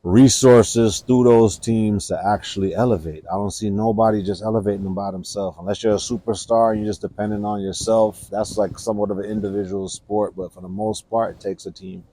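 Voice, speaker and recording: A man's voice: lowish voice, fairly even intonation, brisk, few pauses. A man hosting an interview podcast, encouraging, often turning to his listeners and tacking "man" onto his lines. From Jamaica. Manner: encouraging, talks to listeners, says "man" a lot, loud laughs. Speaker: podcast host. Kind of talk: conversational interview.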